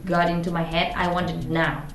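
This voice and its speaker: Lower-voiced Argentine woman in an echoey room. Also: monotone, fairly quick, slight background noise. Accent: Argentine Spanish. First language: Spanish. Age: 20s. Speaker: vlog host. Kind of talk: casual chat to camera. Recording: roomy echo, guitar music behind. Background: guitar music.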